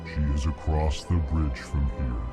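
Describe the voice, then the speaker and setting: An actor playing a slow, formal, anxious alien diplomat with a monotone delivery in a video game. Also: male voice, extremely low pitch, over music. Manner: monotone delivery, announces own emotional tone before sentences.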